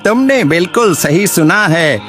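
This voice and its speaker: A man's voice: sits high, expressive, measured pace, music in the background. A man playing an assured, reassuring village landlord in a cartoon moral story. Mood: assured, interested.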